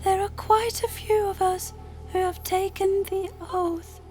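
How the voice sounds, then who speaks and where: Woman as a very high-voiced solemn celestial sister. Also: flat delivery, a little mellow, soft background music. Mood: grave, explanatory. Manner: formal, measured, lecturing. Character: solemn, self-righteous female celestial sister, ancient and knowing.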